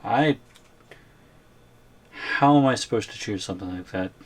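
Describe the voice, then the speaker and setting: A man's voice: fairly low pitch, unhurried, husky. A man playing a wary, resigned captive boyfriend in an ASMR audio roleplay, hesitant and trying to reason his way along. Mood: resigned, uneasy.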